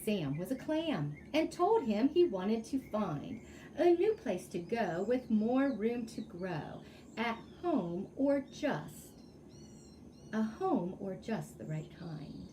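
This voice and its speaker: Expressive, unhurried woman reading to children. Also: mid-range voice, a little hiss or hum. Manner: expressive, addresses children with questions. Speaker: children's storytime reader. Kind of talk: read-aloud storytelling with asides to children.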